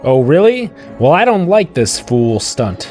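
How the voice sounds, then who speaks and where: A man's voice: a little high, very animated, unhurried, a little mellow, faint music behind. A man playing a grumpy, skeptical property owner in a radio play, curt and dismissive. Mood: irritated.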